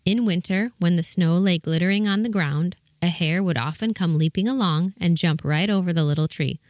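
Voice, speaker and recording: A woman's voice: fairly low pitch, moderate pace, a little mellow, no room sound, studio quality. A woman reading a children's fairy tale aloud as the story's narrator.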